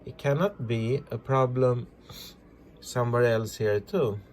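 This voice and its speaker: A man presenting a casual hands-on repair tutorial, talking through each step in halting, simple non-native English. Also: mid-range voice, slight background noise. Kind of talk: casual hands-on demonstration, narrating as they work.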